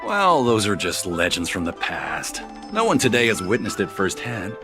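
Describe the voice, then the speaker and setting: An eager, courteous envoy from a faraway world in a video game. His fairly low voice swings expressively with earnest pride, over clearly audible music. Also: wildly swooping pitch, normal speed. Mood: reverent storytelling. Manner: earnest, eager, formally polite. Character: earnest, proud male envoy from a distant planet. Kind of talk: scripted video game dialogue.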